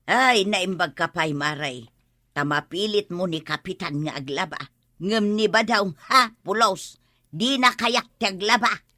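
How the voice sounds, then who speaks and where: An actress with an Ilocano accent playing a fed-up, scolding village wife in a radio comedy drama. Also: female voice, mid-range voice, a little slow, sharp tone, dry recording, studio quality. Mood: aggrieved.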